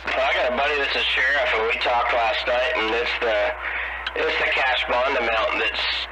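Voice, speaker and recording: A man's voice: medium pitch, steady tone, moderate pace. Plain-spoken American man, mid-range voice, informal and blunt, heard through a very noisy CB transmission. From United States. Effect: CB radio transmission. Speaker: CB radio operator. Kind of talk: casual CB radio chat.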